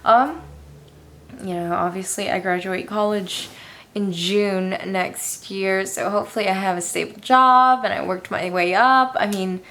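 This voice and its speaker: A young woman with a raspy voice, answering viewer questions casually in her room, tired and hesitant with a self-deprecating manner. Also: medium pitch, fairly even intonation, a little slow, a bit bright. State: tired with a headache. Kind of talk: casual Q&A chat.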